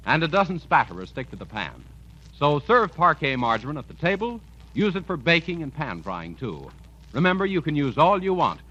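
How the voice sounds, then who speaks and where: American male announcer, 1940s radio salesmanship, slightly high pitch, lively intonation, emphatic, dull vintage recording. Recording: muffled old radio recording.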